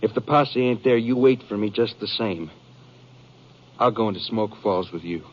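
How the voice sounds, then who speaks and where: A muffled old radio drama recording presents a frightened American ranch man on the run acted by a man. His voice is on the low side, rustic and halting, and he sounds earnest and aggrieved in classic western style. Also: lightly acted. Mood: earnest, insistent. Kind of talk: 1950s radio drama acting.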